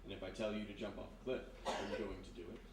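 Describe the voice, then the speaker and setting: An actor playing a sinister, courteous hypnotist in a live radio mystery, speaking in a measured, insinuating way. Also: male voice, fairly low pitch, little pitch movement, lightly acted. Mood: calm, confiding. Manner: measured, insinuating, polite, deliberate. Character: sinister soft-spoken male hypnotist, calmly menacing, courteous. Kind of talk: live 1940s-style radio mystery acting. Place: live performance in a historic house room. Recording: roomy distant live recording, background noise.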